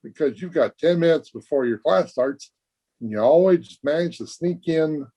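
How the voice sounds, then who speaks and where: An actor playing an earnest, guileless teenage high school boy, chatting eagerly in an old-time radio comedy. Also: lively intonation, moderate pace, mellow, husky. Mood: coaxing. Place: remote recording over video call.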